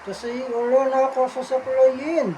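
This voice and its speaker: A man's voice: very high voice, little pitch movement, measured pace, warm tone, slight background noise, pitched above the performer's own voice, low-quality recording. A man voicing a cheeky, boastful bald puppet who banters and jokes.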